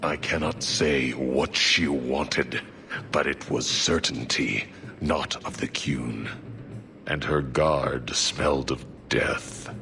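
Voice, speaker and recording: A giant solemn horned warrior for a game, super low voice, slow halting stoic delivery. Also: male voice, fairly even intonation, pushed and bright, a little hiss or hum, exaggerated voice. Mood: grave, stoic. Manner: halting, stoic, terse declarative phrasing. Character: solemn, devout male Qunari warrior mage, huge horned humanoid. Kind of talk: video game cutscene acting.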